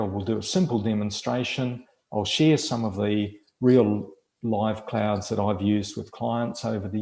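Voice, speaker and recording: A man with a New Zealand accent presenting a webinar over a video call, explaining in a measured way with frequent fillers. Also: on the low side, vocal fry, dark tone, echoey room, rough recording. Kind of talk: teaching a webinar.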